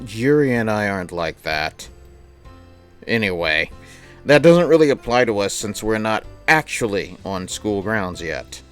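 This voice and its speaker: Streamer reading slowly, animated woman. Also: extremely low pitch. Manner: amused, exasperated asides between deliberate reading. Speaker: streamer reading a visual novel aloud. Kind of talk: livestream playthrough, reading and reacting casually. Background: game music.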